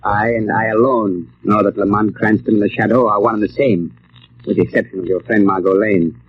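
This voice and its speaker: An actor playing an arrogant, villainous mind-controlling mastermind in an old radio drama, with a suave, menacing, imperious manner. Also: male voice, on the low side, fairly even intonation, fairly quick, natural voice. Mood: grandiose, menacing. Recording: tinny, noisy old radio recording.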